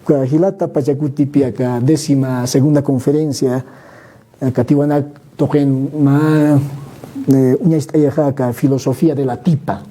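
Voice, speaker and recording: Man, very dark tone, husky, conversational explaining, frequent restarts. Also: mid-range voice, fairly even intonation, normal speed. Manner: thinking aloud, many restarts and "¿no?" tags. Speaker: guest speaker. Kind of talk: conversational explanation, mixing Spanish and Aymara.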